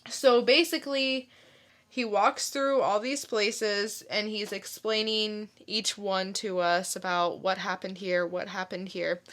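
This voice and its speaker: A woman's voice: mid-range voice, flat delivery, takes it slowly, fairly bright tone. A woman co-hosting a podcast, talking casually and giving informal recaps. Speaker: podcast co-host. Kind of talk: casual chat and informal review.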